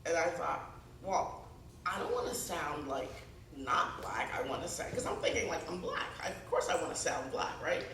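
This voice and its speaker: A woman's voice: sits low, normal speed. A middle-aged woman from Ghana who moved to the US as a child, giving a conversational public talk as an author, telling anecdotes and acting out the dialogue. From born in Ghana, moved to the US at six. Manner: animated storytelling, acts out dialogue. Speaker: guest speaker, author. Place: high school event space, indoors. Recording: echoey room, distant mic, background noise.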